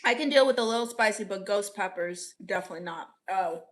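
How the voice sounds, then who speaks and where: A woman's voice: medium pitch, fast talker, a bit bright. A young woman from Orlando, Florida, chatting casually with viewers as livestream host, upbeat and laughing often. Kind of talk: casual chat reading viewer comments.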